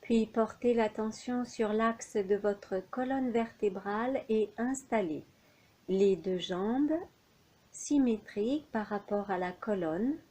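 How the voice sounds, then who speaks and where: A woman leading a guided yoga nidra relaxation as an instructor, speaking in measured, unhurried phrases with pauses between them. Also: mid-range voice, mellow.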